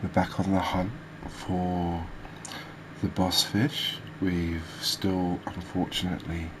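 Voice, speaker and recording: A man hosting a gaming video, giving soft ASMR commentary in a halting, hesitant manner. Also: low-pitched. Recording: steady background noise.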